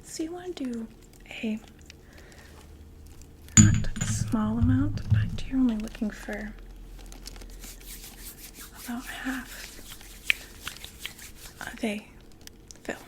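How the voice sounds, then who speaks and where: A young woman playing a female vampire door-to-door makeup saleswoman in an ASMR roleplay, whispering slow, gentle, chatty sales talk. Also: on the high side, heavy background noise. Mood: soft, soothing.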